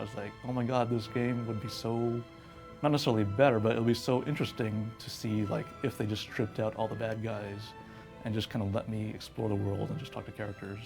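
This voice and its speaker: A man's voice: on the low side, vocal fry. A man talking casually in an interview, conversational and thinking aloud with many hedging asides. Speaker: video game interviewee.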